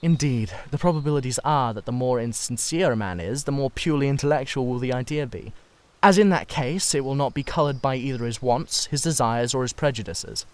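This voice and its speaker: A man's voice: medium pitch, a little fast, no echo, natural voice. A man voicing a languid, witty aristocrat who speaks in teasing, cynical epigrams for a dramatised storybook reading. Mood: pompous amusement. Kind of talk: dramatised literary audiobook reading.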